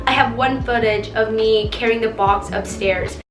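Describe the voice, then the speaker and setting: Bubbly teen girl, slightly raspy. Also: on the high side, normal speed, over music, poor audio. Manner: bubbly, chatty, says "like" and "literally" a lot. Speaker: vlogger. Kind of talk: casual room tour.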